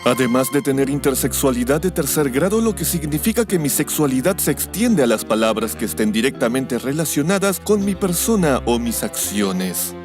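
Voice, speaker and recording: A man's voice: medium pitch, sing-song, moderate pace, few pauses, close to an everyday voice. A man playing a haughty, pedantic alien tyrant in an anime parody. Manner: pedantic, correcting. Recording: loud music behind the voice.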